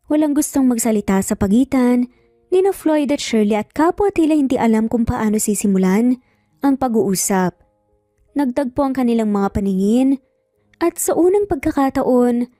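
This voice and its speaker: Female narrator for dramatic fiction: soft mellow tone, measured and expressive, very dry recording. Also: on the high side, no echo. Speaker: storyteller.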